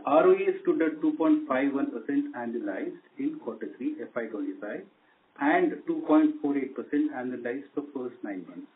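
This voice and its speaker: A man's voice: mid-range voice, expressive, normal speed, a little hiss or hum. A man with an Indian accent formally reading out a scripted corporate earnings presentation as a company executive on a conference call. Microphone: conference call line. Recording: tinny narrowband conference phone line.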